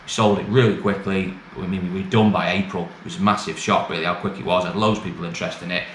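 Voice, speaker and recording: A man's voice: low-pitched, steady tone, quick pace, a bit bright. A middle-aged British man giving a casual, matter-of-fact, rambling update to camera in colloquial language, recorded in a small bare office. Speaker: used car dealer and vlogger. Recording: echoey small room, clear background noise.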